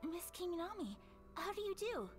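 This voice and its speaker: A gracious, reserved young lady of a noble clan in a video game. Her voice is high and lively in pitch, with formal, courteous phrasing. Music is clearly heard behind her. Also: moderate pace.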